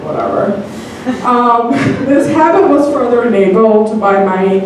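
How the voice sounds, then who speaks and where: A woman's voice: mid-range voice, a little mellow, slight background noise. A woman from Bangladesh telling a personal story on stage, earnest and hesitant with frequent ums. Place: comedy theater stage. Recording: echoey theater from far off.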